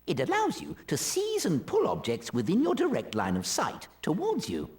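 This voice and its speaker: A man's voice: high voice, huge pitch swings, normal speed, noisy background, heavily acted. A man voicing a fussy, formal male wizard professor, speaking in a prim, lilting way in a game cutscene. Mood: formal instructing. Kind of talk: video game cutscene acting.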